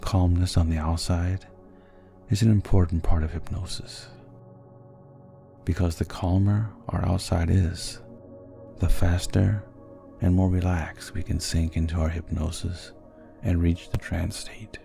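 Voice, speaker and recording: A man narrating a guided sleep hypnosis in a soothing, gentle and measured manner. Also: sits low, flat delivery, vocal fry, faint music behind.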